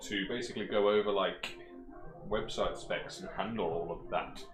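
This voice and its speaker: A man's voice: lowish voice, steady tone, moderate pace, reverberant, noisy background. A man from Birmingham, co-hosting a casual PC building livestream, chatting and laughing and reading chat questions aloud. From Birmingham, England. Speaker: co-host of a PC building stream.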